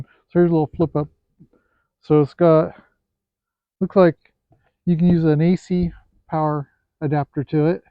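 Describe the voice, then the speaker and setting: A man with a fairly high voice talks through a product review off the cuff. His delivery is hesitant, with pauses to look things over. The sound is narrow and phone-like, with very little room in it. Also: dry recording. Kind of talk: casual unscripted product review. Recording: thin, phone-like, band-limited sound.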